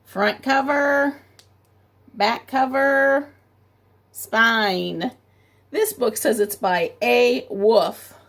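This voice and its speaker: Slow, husky-voiced preschool teacher. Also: female voice, fairly high pitch. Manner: gentle, questioning teacher talk. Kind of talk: reading a picture book aloud to children.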